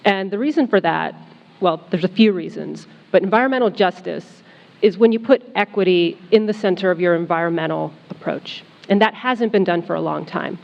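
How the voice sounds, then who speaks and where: Brief female educator, gravelly fry, dull lo-fi sound in a big room. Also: on the low side, brisk. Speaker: college educator. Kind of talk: town hall panel talk. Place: town hall meeting room. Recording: dull lo-fi sound in reverberant hall.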